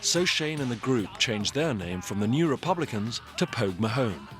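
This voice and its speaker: A man's voice: mid-range voice, big pitch swings, normal speed, a bit bright, soft background music. A man reading scripted narration as the narrator of a documentary.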